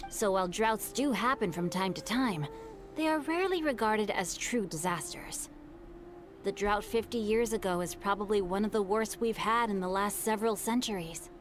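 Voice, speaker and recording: A woman voicing a courteous, bookish young male scholar in a video game, with measured, articulate storytelling. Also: on the high side. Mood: grave, earnest. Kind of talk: scripted video game dialogue. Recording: music under the voice. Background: music.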